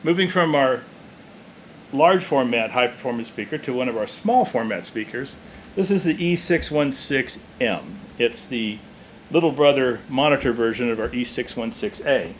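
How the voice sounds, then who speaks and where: A man's voice: mid-range voice, animated, moderate pace, a little hiss or hum, poor audio. A man gives an informal, matter-of-fact product demonstration as a distributor. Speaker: loudspeaker distributor presenting products. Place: indoor demo room with small stage.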